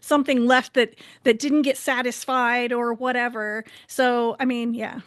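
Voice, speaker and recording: A woman's voice: fairly high pitch, flat delivery, a little slow, no room sound, clean studio sound. A woman talking casually as a podcast panelist about television.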